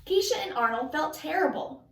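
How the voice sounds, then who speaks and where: A woman reading a children's story aloud as an expressive narrator. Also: high-pitched, moderate pace. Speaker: storybook reader. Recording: echoey room, distant mic.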